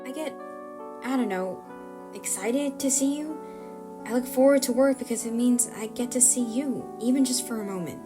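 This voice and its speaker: A woman playing an awkward, self-doubting young camera operator in an audio drama, halting and rambling. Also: a little high, music in the background, pitched above the performer's own voice, natural voice. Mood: earnest, anxious.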